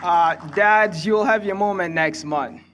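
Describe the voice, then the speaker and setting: Young Congolese-accented man, higher-pitched, speechmaking. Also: a little slow, a bit bright, lo-fi sound, a little hiss or hum. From Kinshasa, Democratic Republic of the Congo. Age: young adult. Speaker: student commencement speaker. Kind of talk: prepared graduation speech.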